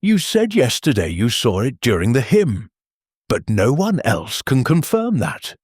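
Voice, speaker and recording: A man's voice: medium pitch, huge pitch swings, measured pace, darkish tone, husky, no room sound, clean studio sound, heavily acted. A man voicing a blunt, skeptical village police constable in an audiobook, pressing and accusatory. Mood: accusing. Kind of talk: audiobook character dialogue.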